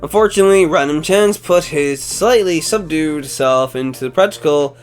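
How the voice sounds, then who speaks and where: A man reading out anime synopses and then wondering aloud about each show in casual, animated commentary. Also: on the high side, normal speed, faint music behind. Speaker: anime YouTuber.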